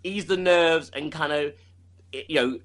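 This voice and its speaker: A man's voice: on the high side, unhurried, a bit bright, a little hiss or hum. A man talking casually as an interview guest, rambling and repeating himself, laughing often. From United Kingdom.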